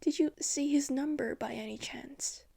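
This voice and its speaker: A woman's voice: high voice, normal speed, vocal fry, warm tone, pitched above the performer's own voice. Courteous police officer on the phone, read by a woman. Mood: polite. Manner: courteous, apologetic. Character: polite, casual male police officer on the phone.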